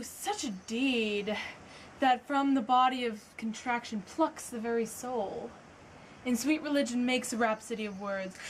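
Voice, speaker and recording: Woman doing an anguished shakespeare prince, accusing his mother, slow dramatic verse, highish voice. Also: slight background noise. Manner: declamatory, impassioned, deliberate. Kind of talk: stage Shakespeare monologue acting.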